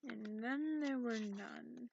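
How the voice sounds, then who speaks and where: A woman playing a sarcastic, cranky dragon in a soft-spoken ASMR bedtime reading, recorded close up in lo-fi. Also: on the high side, slow, background noise. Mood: drowsy storytelling. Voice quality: creaky. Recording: dull lo-fi close dry recording.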